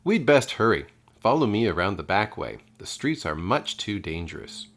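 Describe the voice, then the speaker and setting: A man voicing a friendly, brisk male ship's cat in a storybook. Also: on the low side, sing-song, a bit bright, exaggerated voice.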